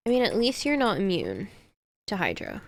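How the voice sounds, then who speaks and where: A woman's voice: medium pitch, wildly swooping pitch, normal speed, no echo. A woman streamer chatting casually to herself while she plays a game. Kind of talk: casual gameplay commentary.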